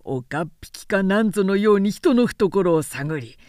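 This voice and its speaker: A woman voicing an irascible old samurai chief retainer in an audiobook, with forceful, pompous, archaic speech. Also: mid-range voice, animated, moderate pace, dry recording, clean studio sound, natural voice. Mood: blustering, accusing.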